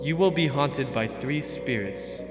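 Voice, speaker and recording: A man plays a doomed male ghost in a radio drama, grieving and ominous. Every phrase is slow and solemnly declaimed, ringing in a cavernous reverb over loud music. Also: medium pitch, close to an everyday voice. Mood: grave warning. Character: mournful chained male ghost warning an old partner.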